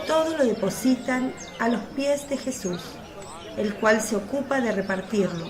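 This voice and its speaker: A woman reading scripted story narration as the narrator of a religious radio play. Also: fairly high pitch.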